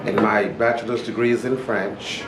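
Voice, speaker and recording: Low-voiced man, French teacher. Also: fairly even intonation, moderate pace. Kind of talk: open-house classroom talk. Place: school classroom. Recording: echoey classroom, music behind.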